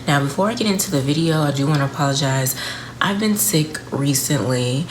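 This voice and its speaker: A woman's voice: sits low, lively intonation, fairly quick. A young woman reviewing a wig for her channel, a little congested from a cold, chatty and talking straight to her viewers. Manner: chatty, addresses viewers directly. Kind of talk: casual beauty review vlog. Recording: noisy home recording.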